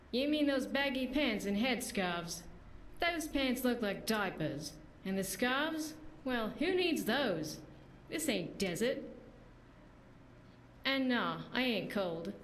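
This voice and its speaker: Woman playing a cocky, high-voiced female mercenary. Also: animated, slow pace, fairly bright tone. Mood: cocky, amused. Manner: brash, teasing, colloquial. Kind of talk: fantasy video game character acting. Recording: roomy echo, faint background noise.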